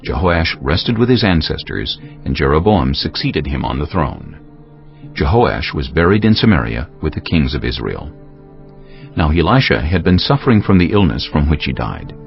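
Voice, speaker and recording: A man narrating a scripture audiobook in a solemn, measured, dramatic style. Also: a bass voice, big pitch swings, gravelly. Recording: dull audio with music behind.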